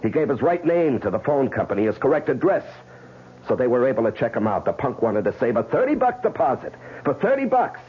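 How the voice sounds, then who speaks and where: An actor playing a bitter, betrayed tough husband in an old radio crime drama, sardonic and intense. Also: male voice, medium pitch, sing-song, brisk, slightly rough. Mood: bitter, accusing. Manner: hard-boiled, sardonic, dramatic. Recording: dull, hissy old radio recording.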